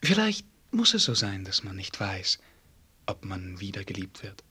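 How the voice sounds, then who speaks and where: Young prince, husky voice, formal, earnest, self-deprecating, melancholy, radio drama. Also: male voice, low-pitched, big pitch swings, measured pace, sharp tone, exaggerated voice. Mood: wistful, earnest. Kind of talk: German radio play acting.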